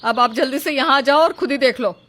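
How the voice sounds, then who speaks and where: A woman playing a scolding, domineering middle-aged mother-in-law in a dramatized Hindi moral story, with sharp, accusing delivery. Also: high-pitched, brisk. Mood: complaining.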